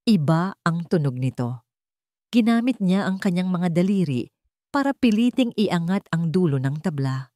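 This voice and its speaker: A woman reads a dramatic story aloud in a calm, measured voice pitched on the low side. The sound is dry and close, with no room around it. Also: no echo. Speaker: narrator. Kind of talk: scripted storybook narration.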